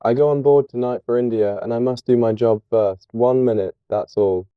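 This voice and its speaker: A man's voice: medium pitch, fairly even intonation, moderate pace, clear, resonant tone, no echo, narrow, tinny audio, voice pushed up, close to an everyday voice. A man voicing a vengeful, brutish sailor in an audiobook, with a threatening, commanding manner. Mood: harsh, urgent. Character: vengeful, brutish sailor demanding revenge for his sister. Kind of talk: dramatised audiobook character reading.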